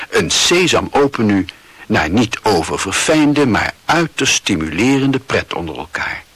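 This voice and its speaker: Casting: male radio drama narrator, very low and husky, reading literary prose with a bright edge.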